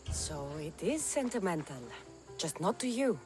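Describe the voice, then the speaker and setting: A woman's voice: on the low side, big pitch swings, unhurried, music in the background. An actress playing a tough, skeptical young female mercenary in a video game, with a dry, teasing, matter-of-fact delivery. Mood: skeptical, intrigued. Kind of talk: video game cutscene acting.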